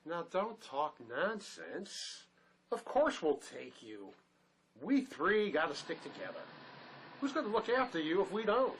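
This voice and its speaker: A man's voice: high voice, very animated, measured pace, fairly bright tone, full character voice, rough recording. An actor playing an anxious, protective male ex-con in a drama, earnest, fussing and full of questions. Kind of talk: dramatic script performance. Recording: faint background noise.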